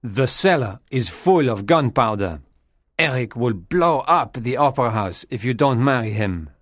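A man's voice: mid-range voice, animated, a little slow, fairly bright tone, no echo, studio quality. A man voicing an earnest, anxious young rescuer in audiobook dialogue. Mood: urgent.

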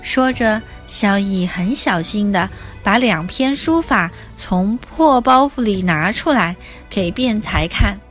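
A woman narrating a scripted children's story as its host, in an expressive, simply explaining way. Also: mid-range voice, slow pace, few pauses. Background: soft music.

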